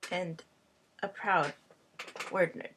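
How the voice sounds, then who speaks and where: Slow, deliberate woman, native Hungarian speaker. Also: lowish voice, steady tone, fairly bright tone, a little hiss or hum. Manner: deliberate, halting delivery in short phrases. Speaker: language tutor. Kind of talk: personal vlog monologue.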